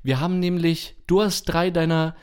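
Higher-pitched man chatting informally. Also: fairly even intonation, smooth. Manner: informal, halting, self-correcting with repeated false starts. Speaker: podcast host. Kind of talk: casual chat.

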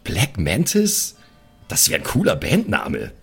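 A man performs a contemptuous gas-station clerk in an improvised radio play. The voice is rough and husky and swings widely in pitch, sneering and cursing with open scorn. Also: wildly swooping pitch, slow, fairly bright tone, faint music behind, heavily acted. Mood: scornful, baffled.

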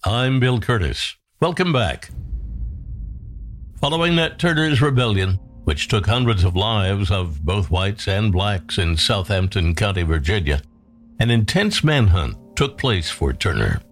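A man's voice: fairly low pitch, sing-song, measured pace, soft background music. A man hosting a history show with dramatic scripted narration. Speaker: host.

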